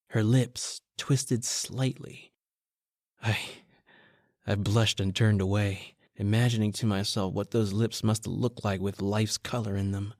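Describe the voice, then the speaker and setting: A man's voice: on the low side, darkish tone, dry recording, clean studio sound. A man playing a nervous young reporter who narrates his own story in an earnest, intense 1940s radio drama style. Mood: brooding, fervent.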